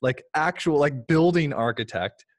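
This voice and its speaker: A man's voice: on the high side, lively intonation, moderate pace. A man hosting a podcast interview, asking questions in a casual, enthusiastic, conversational way. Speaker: podcast host.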